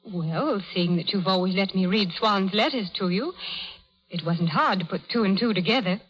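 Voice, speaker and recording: An actress playing a frank, spirited young daughter in a vintage radio drama, with a brisk, teasing way of talking. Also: female voice, medium pitch, slightly rough, dull audio, faint music behind, natural voice. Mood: candid. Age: young adult. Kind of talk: 1930s radio drama acting.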